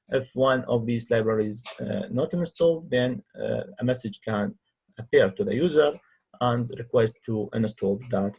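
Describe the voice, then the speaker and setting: A man who speaks English as a second language narrates a software tutorial as a researcher, in a halting way with hesitant pauses. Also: medium pitch, husky, highs cut off. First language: not English. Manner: halting, non-native phrasing with hesitant pauses. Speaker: researcher demonstrating own software. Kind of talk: tutorial screen-recording narration.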